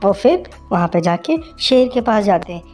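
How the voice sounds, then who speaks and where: A woman's voice: mid-range voice, sing-song, moderate pace, darkish tone, faint music behind. A woman narrating a children's moral story in a simple, conversational way.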